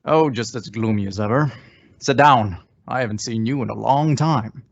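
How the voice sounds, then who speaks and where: A man's voice: mid-range voice, normal speed, vocal fry. A man playing a gloomy, overworked prison warden in an old-time radio drama, blunt and world-weary. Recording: muffled, band-limited audio.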